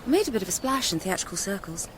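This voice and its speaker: A woman's voice: mid-range voice, very animated, quick pace, slight background noise, natural voice. An actress playing an articulate young Englishwoman, educated, confiding and lightly teasing, in naturalistic radio drama dialogue.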